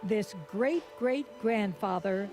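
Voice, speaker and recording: A woman's voice: medium pitch, slow pace, a little mellow, faint music behind. A woman reporter narrating a scripted television news feature in an earnest storytelling tone.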